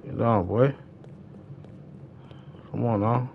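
A man's voice: medium pitch, fairly even intonation, slow pace, gravelly, noisy background. Man gaming on stream, slightly muffled audio. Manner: slangy, repeats phrases. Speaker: livestreamer and gamer. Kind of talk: casual gaming livestream banter.

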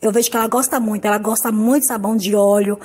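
A woman from Brazil hosting a home soap-making tutorial, hoarse from a cold, talking in a chatty, affectionate way. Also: mid-range voice, steady tone, racing, a little mellow. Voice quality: husky. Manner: chatty, affectionate, repeats "tá, gente?". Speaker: soap-making channel host. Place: home kitchen counter. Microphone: phone camera on the table.

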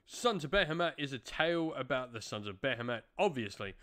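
A man's voice: mid-range voice, expressive, brisk, pushed and bright. A young adult man giving a casual, talking-to-camera review as a channel host, recorded indoors in a home room.

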